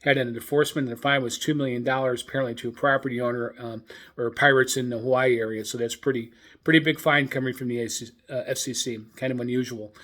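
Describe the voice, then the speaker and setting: A man's voice: mid-range voice, fairly bright tone. Hesitant man hosting an informal radio show. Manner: hesitant, halting delivery. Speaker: host of a shortwave radio news show. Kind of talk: informal hobbyist radio news commentary.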